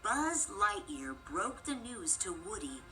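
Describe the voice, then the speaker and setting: A woman reading a scripted children's read-along story in an expressive, measured storytelling manner. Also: medium pitch. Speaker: storybook narrator. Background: music.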